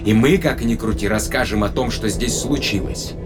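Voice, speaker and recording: A man's voice: lowish voice, moderate pace, loud music behind the voice, lightly acted. A man as a wary, questioning space traveler. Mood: skeptical, insistent. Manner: questioning, dryly sardonic. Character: wary, skeptical male space traveler, an ordinary human. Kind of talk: audio drama acting.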